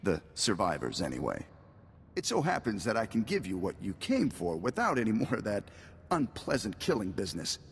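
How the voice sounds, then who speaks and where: Casting: wry game pirate chief, lively swings in pitch, polite bargainer, reverberant noisy hall. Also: male voice, gravelly, full character voice. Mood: wry, placating. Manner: polite, wry, measured hesitations. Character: smooth-talking male pirate leader, wry, bargaining, calculating. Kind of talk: video game voice acting.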